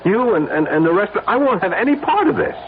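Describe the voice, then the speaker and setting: A man's voice: sits high, big pitch swings, fast. An actor playing an anxious, reluctant man who protests in an agitated way in a radio drama. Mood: agitated, refusing. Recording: noisy phone-like radio broadcast.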